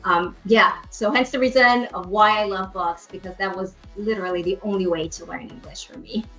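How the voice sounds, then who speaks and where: A woman's voice: mid-range voice, steady tone, moderate pace, a bit bright, faint music behind, poor audio. A middle-aged woman from Brazil with Portuguese as her first language, speaking as an enthusiastic podcast guest who repeats words for emphasis. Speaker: podcast guest, hotel industry professional. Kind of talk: casual interview chat.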